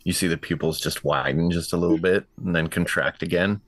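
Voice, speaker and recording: A man playing a tabletop game, describing actions casually in a deliberate, halting way. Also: low voice, fairly even intonation, a bit bright, no echo.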